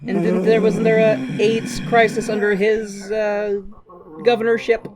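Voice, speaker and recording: A man's voice: sits high, completely flat delivery, unhurried, heavy background noise. A man with an American accent giving a casual, sweary recap with wry asides, as a podcast co-host. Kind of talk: casual film recap with commentary.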